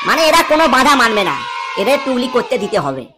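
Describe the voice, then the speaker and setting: A woman's voice: fairly high pitch, big pitch swings, fairly quick, noisy background. A woman voicing a cheeky, dramatic cartoon schoolboy, giving a theatrical comic lecture. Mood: heated, mocking.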